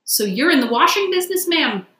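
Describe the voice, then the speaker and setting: A woman's voice: high-pitched, big pitch swings, fairly quick, a bit bright, roomy sound, pitched above the performer's own voice. A woman voicing a big, hearty working barge woman from a storybook, polite, plain-spoken and chatty. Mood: respectful, hearty.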